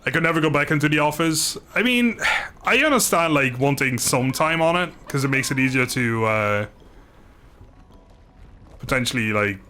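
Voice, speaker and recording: A man livestreaming a video game, chatting informally and thinking aloud in a halting, casual way. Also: mid-range voice, expressive, bright, forceful tone, a little hiss or hum. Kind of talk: casual livestream chat over gameplay.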